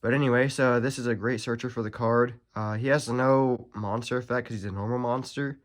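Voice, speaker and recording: A young man with a stuffy, nasal voice from allergies, casually walking through a card deck, rambling with many pauses and self-corrections. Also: medium pitch, steady tone. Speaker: card game channel host. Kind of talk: casual deck profile walkthrough.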